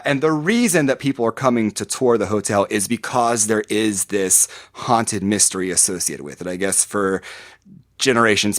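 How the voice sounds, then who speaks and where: Low-voiced man, dry sound. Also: no room sound. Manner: rambling, many fillers and restarts. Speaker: podcast guest. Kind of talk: casual conversational description.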